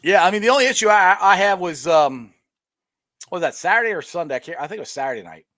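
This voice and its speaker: Middle-aged male sports-talk host, rambling storyteller, somewhat high voice that swings widely, dry close sound. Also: fast, dry recording. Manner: rambling, animated storytelling with frequent restarts and laughs. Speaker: podcast host. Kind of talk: casual sports chat.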